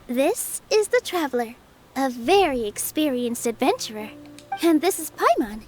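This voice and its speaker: A woman playing a gentle, modest young theater performer in a fantasy game, speaking softly and earnestly. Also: high-pitched, big pitch swings, moderate pace, soft background music. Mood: friendly, inviting. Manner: sweet, earnest, polite.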